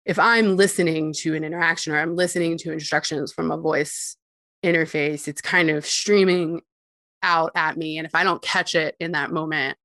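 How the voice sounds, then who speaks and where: Low pitched woman guest, talks it through thoughtfully, dry mic, little laughs here and there. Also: fairly even intonation, normal speed, a bit bright, dry recording. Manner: explanatory, with frequent light laughs. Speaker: guest expert on voice interfaces. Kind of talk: conversational interview answers.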